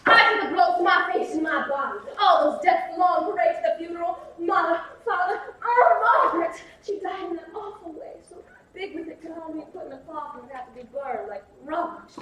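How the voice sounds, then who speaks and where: An actress playing a fragile, faded belle, theatrical and close to hysteria in a 1950s film drama. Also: female voice, high-pitched, slight background noise. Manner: theatrical, breathy, swings to near hysteria. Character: fragile, faded Southern belle, highly strung. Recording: echoey, distant old film soundtrack.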